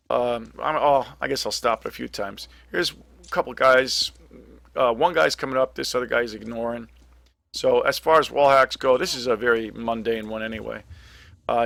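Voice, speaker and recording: A middle-aged man with a gravelly, scratchy voice talks his way through a game replay, thinking aloud with frequent ums and self-corrections. His tone is bright and forward. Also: mid-range voice. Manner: hesitant, lots of ums and restarts, thinking aloud. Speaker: gaming commentator. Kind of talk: casual game commentary over replay.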